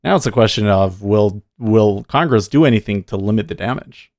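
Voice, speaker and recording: A man with a low, gravelly voice hosts a casual day trading livestream. He thinks aloud with fillers and often mutters to himself. The sound is dry and close. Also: moderate pace, a little mellow, dry recording.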